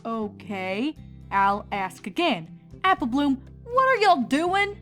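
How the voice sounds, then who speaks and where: A woman's voice: high-pitched, sing-song, takes it slowly, a bit bright, soft background music, pitched above the performer's own voice, exaggerated voice. A woman voicing a plain-spoken country pony, an exasperated big sister with a folksy drawl.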